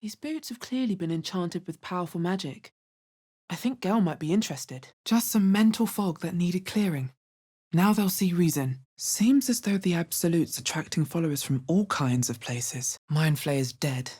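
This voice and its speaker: A woman playing a guarded adventurer and spellcaster in a fantasy video game, with a husky, bass-heavy voice and a measured, wary, dry delivery. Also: animated, mellow. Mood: wary, curious. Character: guarded, low-voiced adventurer woman, player hero who casts spells.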